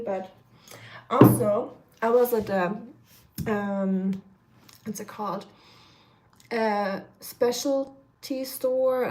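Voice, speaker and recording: A woman's voice: mid-range voice, dark tone, poor audio. A young woman from Germany, chatting casually and rambling with many fillers while cooking on a live stream, her voice a little nasal. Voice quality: slightly nasal. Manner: chatty, rambling, many fillers, reads chat comments aloud.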